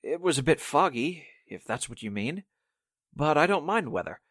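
An actor playing a mild-mannered, evasive son under suspicion, hesitant and polite, in 1940s-style radio drama. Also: male voice, on the high side, very animated, normal speed, a bit bright, no echo, clean studio sound.

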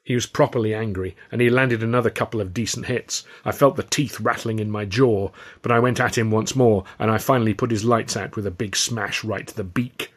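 Husky British man narrating a story. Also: lowish voice, steady tone, a little fast, hardly any pauses. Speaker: author reading his own novel. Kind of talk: scripted first-person audiobook narration.

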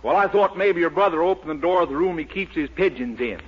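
An actor playing a meek, dryly joking married neighbor in a 1940s radio sitcom. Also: male voice, a little high, animated, fast talker, close to an everyday voice. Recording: dull 1940s radio broadcast with hiss.

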